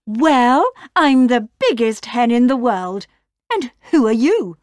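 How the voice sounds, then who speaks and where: A woman's voice: a little high, sing-song, slow pace, darkish tone, dry recording, studio quality, pitched above the performer's own voice. A woman voicing a big, proud female cow in a storybook, with an earnest manner.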